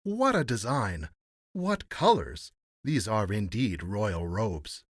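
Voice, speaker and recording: A man voicing fawning courtiers and townsfolk in a storybook, gushing with drawn-out exclamations of admiration. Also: on the low side, sing-song, husky, no echo, studio quality, exaggerated voice. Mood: gushing awe.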